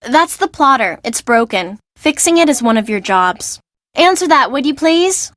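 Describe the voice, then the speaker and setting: A woman voicing an exasperated, bossy young assistant to an eccentric fashion designer in a video game, brisk, expressive and sarcastic. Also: high-pitched, dry recording, clean studio sound. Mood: calling out, impatient.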